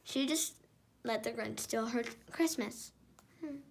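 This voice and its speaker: A woman reading a children's picture book aloud, expressive and chatty, breaking into casual remarks on the pictures. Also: fairly high pitch, slow pace, creaky.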